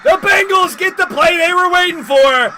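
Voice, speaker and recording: A man hosting a live sports stream, calling plays and chatting casually with viewers, getting excitable on big plays. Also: very high-pitched, normal speed, very noisy. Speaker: livestream host and play-by-play commentator.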